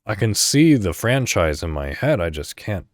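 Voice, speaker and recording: A low-voiced man giving casual podcast commentary at an unhurried pace. His pitch moves around a lot as he thinks out loud in a loose, rambling way, and the sound is dry and close. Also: very animated, dry recording. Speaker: podcast host.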